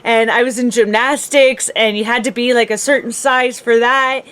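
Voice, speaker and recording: A woman's voice: fairly high pitch, steady tone, normal speed, fairly bright tone, clean tone. A woman in her late thirties co-hosting a podcast, chatting candidly and laughing often. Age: 30s. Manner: giggly, candid. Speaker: podcast co-host. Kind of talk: casual chat.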